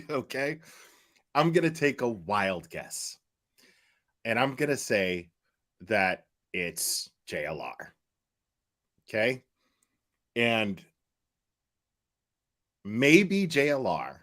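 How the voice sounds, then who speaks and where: Man, reaction commentator, forceful bright tone, animated pitch, deliberate, profane and mocking. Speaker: reaction video host. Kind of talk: casual unscripted commentary.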